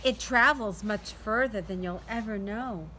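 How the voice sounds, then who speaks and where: A middle-aged woman doing an expressive, deliberate read-aloud storytime for young children. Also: mid-range voice. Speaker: teacher reading to children. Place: outdoors in a sunny backyard. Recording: outdoor ambient noise.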